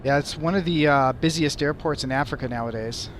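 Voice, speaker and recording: A man's voice: on the high side, brisk, slight background noise. A young American man hosting a casual podcast chat, curious and thinking aloud with lots of "like". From United States. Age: young adult. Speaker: host.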